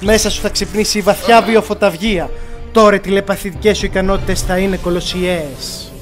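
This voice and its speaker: A man's voice: high voice, steady tone, soft background music, lightly acted. A man voicing a determined young cartoon hero, the lord of light, speaking in an earnest, declamatory way.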